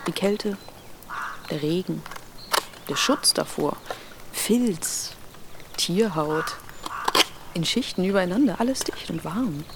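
An actress playing a hurt, reproachful wife on a wilderness trip in a naturalistic radio drama, with a probing, sarcastic manner. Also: female voice, fairly low pitch, expressive, takes it slowly, vocal fry, long pauses, heavy background noise, exaggerated voice. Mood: wistful, subdued.

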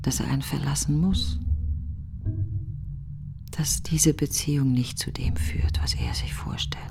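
An actress playing a grieving, bitter middle-aged woman in a radio monologue, breathy and often near a whisper, in fragmented, deliberate phrases. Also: a bass voice, steady tone, music in the background, exaggerated voice. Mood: hushed, bitter. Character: grieving, bitter, reflective woman alone after a friend's funeral. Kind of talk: literary radio play monologue.

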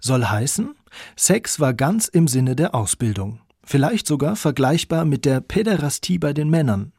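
A man's voice: a little high, vocal fry, darkish tone, husky, no room sound, clean studio sound. A man reading scripted narration for a radio documentary.

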